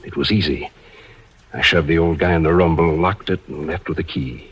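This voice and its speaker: An actor playing a cold, commanding murderer who makes smooth threats in a 1950s radio thriller. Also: male voice, deep voice, normal speed. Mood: cold menace. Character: cold, menacing male murderer holding a woman at gunpoint. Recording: dull, muffled old radio recording.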